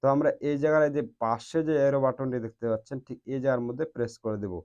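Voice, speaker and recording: A man's voice: medium pitch, racing, a little mellow, smooth, highs cut off. A man hosting a step-by-step phone tutorial in a repetitive instructional way. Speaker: tech tutorial host.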